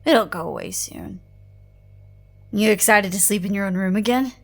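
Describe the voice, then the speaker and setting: A woman's voice: medium pitch, moderate pace, lightly acted. A woman acting in an audio drama as a playful, flirtatious woman with a slangy, casual, teasing way of talking. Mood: casual, coaxing.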